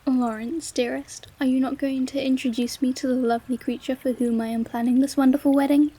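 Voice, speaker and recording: A woman playing a gracious, gentle royal lady in a romantic audio drama, formal and gushing, with a soft husky voice. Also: high voice, level tone, a little fast. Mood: playfully chiding.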